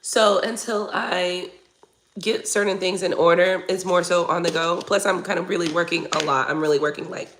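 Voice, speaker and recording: A woman in her forties chatting candidly with viewers on a live stream. She swears casually, and she is sick, so she keeps clearing her throat and coughing. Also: lowish voice, steady tone, moderate pace, fairly bright tone, slight background noise, low-quality recording. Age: 40s. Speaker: tarot reader hosting a live stream. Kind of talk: casual chat to viewers, reading comments.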